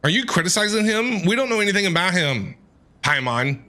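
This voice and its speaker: A man's voice: sits high, sing-song, fairly quick, a crowd in the background. A man streaming a game with playful, casual reaction commentary, talking back to the characters.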